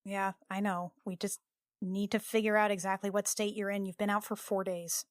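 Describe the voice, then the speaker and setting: A woman playing a worried, brisk young woman, close and dry. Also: mid-range voice, level tone, no echo, close to an everyday voice. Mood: focused, careful. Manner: fussing, clinical questioning. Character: worried, brisk woman checking on her injured boyfriend.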